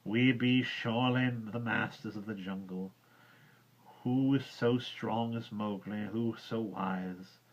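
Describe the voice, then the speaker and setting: Brief: wise teasing panther, man reading, low voice, slow drawl, muffled lo-fi sound. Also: steady tone, fairly bright tone. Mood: sly drawl. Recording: dull lo-fi recording.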